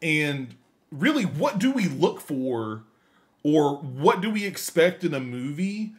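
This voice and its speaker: A man's voice: a little high, sing-song, slow pace. Casual male podcast host. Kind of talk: casual chat.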